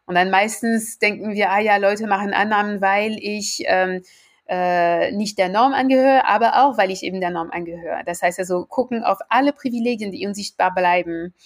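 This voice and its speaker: A woman's voice: medium pitch, moderate pace. Earnest woman with a French accent. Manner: earnest, builds to emphatic repetition. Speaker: guest expert. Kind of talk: podcast interview answers.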